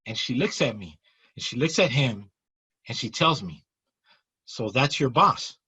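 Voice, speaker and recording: Long beach guy, earnest, stumbles and restarts, husky voice, dull lo-fi sound. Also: mid-range voice, lively intonation, unhurried, bright, forceful tone. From Long Beach area, California. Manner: earnest, repeats words and restarts, loves examples. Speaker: nonprofit co-founder and guest. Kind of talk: conversational interview. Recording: muffled, lo-fi audio.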